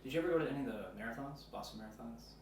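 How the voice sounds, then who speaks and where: A man's voice: mid-range voice, racing, a little mellow. A man from upstate New York interviewing in a loose, rambling way, filling his questions with like. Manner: loose and rambling, says like a lot. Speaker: interviewer and one-man film crew. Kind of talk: casual documentary interview. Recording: echoey, distant, noisy room.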